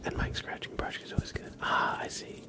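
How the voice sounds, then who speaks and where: A man softly chatting to viewers as an ASMR livestreamer, gentle and unhurried, often in a whisper.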